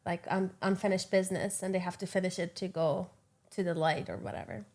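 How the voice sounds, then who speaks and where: A woman's voice: lowish voice, fairly even intonation, fairly quick, darkish tone. A woman, a native Farsi speaker, chatting as a podcast guest, enthusiastic and giggly. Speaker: actress and filmmaker, guest. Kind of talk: casual chat.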